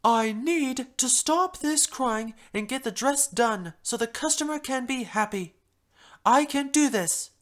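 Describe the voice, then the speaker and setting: A woman's voice: a little high, a little slow, lightly acted. A woman voicing a refined, fretful female pony fashion designer with a theatrical, genteel manner in a first-person story. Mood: panicked, dramatic. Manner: theatrical, genteel, uses "darling". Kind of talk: first-person fan story narration in character.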